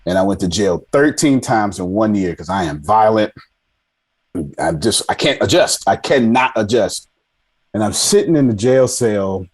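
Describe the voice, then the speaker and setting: Male, 40, lowish pitch, podcast guest, casual and self-deprecating storyteller who repeats himself for emphasis. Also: lively intonation, normal speed, slightly rough. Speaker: podcast guest, army veteran. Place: indoors on a video call.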